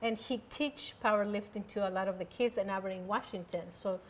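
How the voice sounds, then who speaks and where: A woman's voice: mid-range voice, steady tone, brisk, low-quality recording. A woman hosting a casual interview show, talking at length with many fillers and restarts. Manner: rambling, many fillers, warm toward the guest. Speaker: host.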